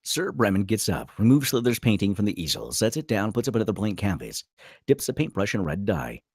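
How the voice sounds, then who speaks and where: A man's voice: fairly low pitch, sing-song, fairly quick, a little mellow, breathy edge, no echo, clean studio sound. A man reading screenplay stage directions aloud as a dramatic narrator at a table read.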